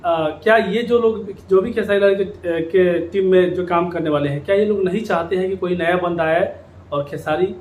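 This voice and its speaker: High-pitched man in an echoey room. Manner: repeats phrases for emphasis. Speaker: YouTube commentator on Bhojpuri music industry. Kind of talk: informal opinion commentary to viewers. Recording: echoey room with background noise.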